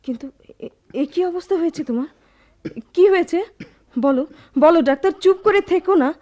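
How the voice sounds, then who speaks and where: A woman's voice: very high voice, a little fast, mellow, highs cut off. An actress playing a remorseful woman pleading with her beloved in a radio drama, in an impassioned, melodramatic manner. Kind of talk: Bengali radio drama acting.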